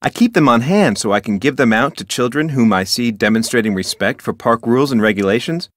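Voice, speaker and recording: A man's voice: medium pitch, animated, fairly quick, no room sound, studio quality, close to an everyday voice. A man playing an officious, rule-bound park ranger in an adventure game, with a formal, wordy manner. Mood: pleased, helpful. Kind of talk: scripted adventure game dialogue.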